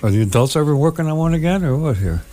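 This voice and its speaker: A man's voice: on the high side, expressive, fast, mellow. A man hosting radio, chatting informally and teasingly as he interviews guests. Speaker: radio host. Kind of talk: casual interview chat.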